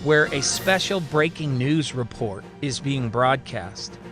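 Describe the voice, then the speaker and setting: A man's voice: mid-range voice, animated, slow, music in the background. A man telling a scripted story as a podcast storyteller, with a theatrical, emphatic and suspenseful delivery. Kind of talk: dramatic scripted story narration.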